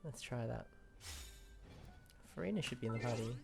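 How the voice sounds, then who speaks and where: A player gives loose, casual gameplay commentary, half to themself. The pitch sits in an ordinary middle range, and game music plays behind the voice. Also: moderate pace, vocal fry. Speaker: player streaming a video game playthrough.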